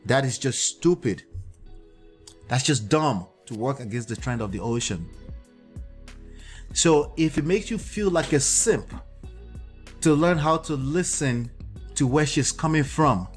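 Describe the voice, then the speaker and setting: A man's voice: medium pitch, lively intonation, measured pace, pauses often. A man with a Nigerian accent speaks in a middle register as he hosts his own livestream. He lectures in an instructive tone and keeps checking in with his listeners. Faint music runs underneath. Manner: lecturing, checks in with "okay?" and "right?". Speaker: livestream host. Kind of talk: livestream commentary.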